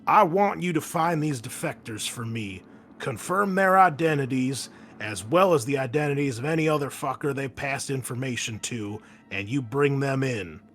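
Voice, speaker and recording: A man voicing a gruff, foul-mouthed Marine commander giving emphatic orders in a tabletop game briefing. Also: mid-range voice, normal speed, a bit bright, faint music behind, lightly acted. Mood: stern.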